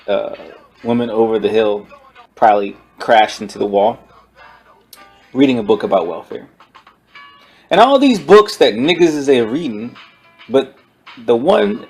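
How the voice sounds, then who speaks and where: Halting man reviewing a book casually. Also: mid-range voice, husky. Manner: halting, searching for words, slangy. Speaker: online video host and book reviewer.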